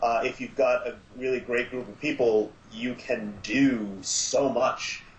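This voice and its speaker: A man speaking as an interview guest in casual chat, enthusiastic but halting and reflective. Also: medium pitch, steady tone, reverberant, highs cut off, slight background noise. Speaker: podcast guest, audio drama maker.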